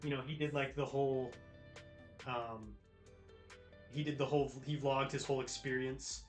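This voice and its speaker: A man's voice: mid-range voice, flat delivery, normal speed. An American man hosting a livestream, chatting casually with viewers in a laid-back, slangy way. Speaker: livestream host. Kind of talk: casual chat with live viewers. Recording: roomy echo with music behind. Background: music, guitar.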